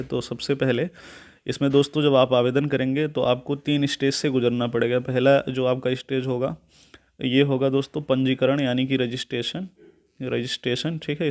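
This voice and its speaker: A man presents an informal step-by-step tutorial, instructive, reading out points, explaining them and often checking in with a short tag question. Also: mid-range voice.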